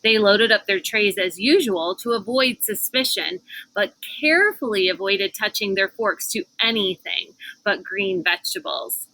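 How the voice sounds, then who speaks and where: A woman's voice: mid-range voice, lively intonation, measured pace, pushed and bright, low-quality recording. A woman reading a children's book aloud outdoors on a porch, adding casual asides as she goes. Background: cicadas and air conditioner hum.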